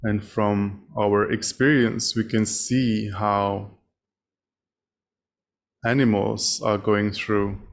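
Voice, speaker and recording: Man, meditation teacher, lowish pitch, flat intonation, measured phrasing, long pauses. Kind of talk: guided meditation, spoken instruction.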